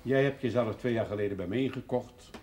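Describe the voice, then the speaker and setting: An actor playing a proud, plain-spoken village brewer and father in a period television drama, with a husky voice. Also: medium pitch, fairly quick, a little mellow, highs cut off, slight background noise, lightly acted. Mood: firm, dignified. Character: firm, proud rural brewer and devoted father. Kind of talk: 1970s Dutch television period drama acting.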